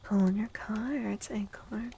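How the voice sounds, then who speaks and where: A woman host speaking softly and gently in a livestream ASMR style, breathy and often slipping into a whisper, drawing out her words. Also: mid-range voice, fairly even intonation, slight background noise. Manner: slow, gentle, drawn-out words. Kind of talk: soft-spoken ASMR livestream chat.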